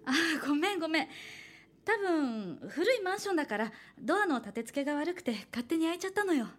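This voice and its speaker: A woman's voice: very high voice, lively intonation, quick pace. An actress playing a cheerful young woman, an aspiring manga artist who reassures others though a little uneasy, in a Japanese horror radio drama. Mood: flustered, reassuring. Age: young adult. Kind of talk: Japanese horror radio drama acting with first-person narration.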